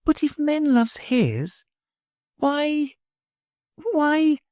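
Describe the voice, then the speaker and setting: A woman reading an audiobook, voicing a gentle, earnest little girl in a soft, pleading way. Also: on the high side, very animated, a little slow, no room sound, clean studio sound, voice pushed up, exaggerated voice. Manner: soft, pleading, wide-eyed. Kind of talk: audiobook character voice.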